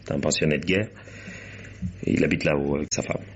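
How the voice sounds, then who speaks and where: An actor playing a brusque, imperious businessman and wronged husband in a French radio mystery, with a husky voice. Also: extremely low pitch, steady tone, fairly quick, dull audio, heavily acted. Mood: businesslike, uneasy. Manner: brusque, domineering, blunt. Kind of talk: French detective radio drama acting.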